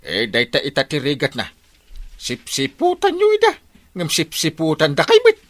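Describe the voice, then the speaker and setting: An actor with an Ilocano accent playing a flustered, whining village captain in a comic radio drama, stammering and wailing in repeated exclamations. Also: male voice, fairly high pitch, wildly swooping pitch, normal speed, dry recording. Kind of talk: broad comedy radio drama acting.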